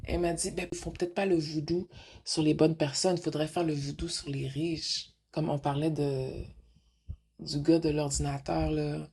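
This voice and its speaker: Husky low-voiced Québécois woman host. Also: fairly even intonation, moderate pace, mellow. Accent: Québécois French. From Quebec. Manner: rambling, switches into English mid-sentence; frank, rhetorical questions. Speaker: radio show host. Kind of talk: casual monologue.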